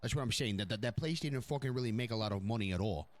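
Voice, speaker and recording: A man's voice: lowish voice, fast talker, close to an everyday voice. A man playing a blunt mafia boss in a crime roleplay game, swearing heavily and repeating his words as he goes. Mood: blunt, probing. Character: blunt, foul-mouthed male mafia boss running his crew. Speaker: roleplay streamer.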